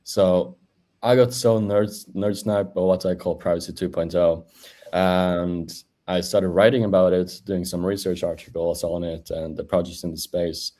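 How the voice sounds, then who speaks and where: A man, chatting as a guest over a video call in a halting way with many fillers. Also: low voice, fairly even intonation, mellow. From Norway. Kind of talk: casual interview chat.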